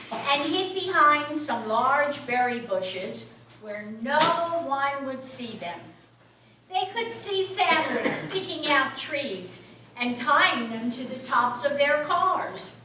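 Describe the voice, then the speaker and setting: A woman's voice: high-pitched, animated, slow pace, noisy background. A woman hosting a children's puppet show, telling a story in simple phrases aimed at young listeners. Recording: very echoey room, distant mic, music behind.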